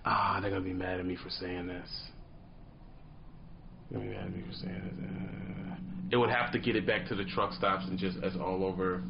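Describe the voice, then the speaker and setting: A man's voice: deep voice, moderate pace, gravelly, lo-fi sound, background noise. A man in his thirties, a truck driver vlogging casually to camera in a small dim room, telling stories in slang and acting out little scenes. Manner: animated storytelling, slangy, acts out little scenes.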